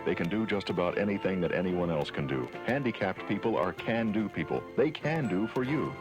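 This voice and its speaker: A man's voice: deep voice, lively intonation, brisk. A man reading a scripted radio public service spot with emphatic, list-like build-up. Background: music.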